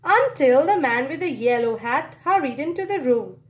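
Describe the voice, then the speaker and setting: High, lively woman reading a storybook. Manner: animated, dramatic pauses and exclamations. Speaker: children's storybook reader. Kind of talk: expressive read-aloud storybook narration.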